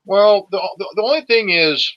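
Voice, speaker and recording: A man's voice: sits high, expressive, moderate pace, dry recording, muffled recording. A man in his forties in a casual political discussion, sweary and emphatic, often stumbling and repeating his words. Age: 40s.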